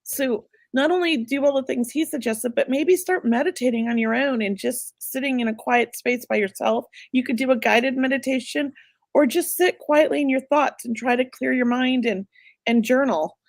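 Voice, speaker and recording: A woman hosting a live psychic reading, chatty and reassuring, going a bit hoarse as she loses her voice. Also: high-pitched, normal speed, no echo. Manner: chatty, reassuring, many filler words. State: losing her voice. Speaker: psychic medium host.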